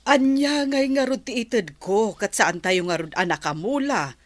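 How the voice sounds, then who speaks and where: A woman's voice: on the high side, lively intonation, measured pace, no echo. A woman playing an indignant, proud mother of a poor farming family in a radio drama. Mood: indignant, worried.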